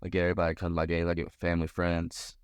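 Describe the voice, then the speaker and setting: Deep-voiced young American man, smooth and casual. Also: monotone, fast, no room sound, clean studio sound. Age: around 20. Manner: informal, slangy. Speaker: college baseball pitcher being interviewed. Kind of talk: casual interview answers.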